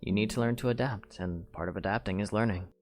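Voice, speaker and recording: A man voicing a gentle, soft-spoken young knight. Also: on the low side, fast, natural voice. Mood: earnest. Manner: soft-spoken, sincere, plain phrasing. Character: young male knight, quiet, gentle, earnest. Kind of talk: fan audio drama. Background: music.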